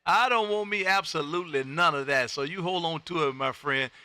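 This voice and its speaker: A high-pitched, very bright and forceful male voice. He hosts amateur radio contacts in an exuberant, folksy way, and the sound is close and dry. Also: a little fast, clear, resonant tone, no echo. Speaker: ham radio operator hosting a livestream. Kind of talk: animated on-air radio contact chat. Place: home radio room.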